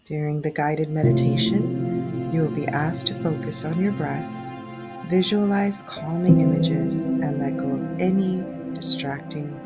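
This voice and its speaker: A woman narrating a guided sleep meditation in a soothing, measured, instructive way. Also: deep voice. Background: soft music.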